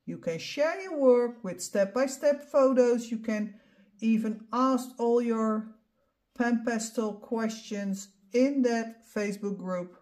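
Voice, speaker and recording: A middle-aged woman with a Dutch accent, not a native English speaker, calmly explaining each step of a painting tutorial as she works. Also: fairly high pitch, takes it slowly. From Netherlands. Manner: calm step-by-step explaining while demonstrating. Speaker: art instructor.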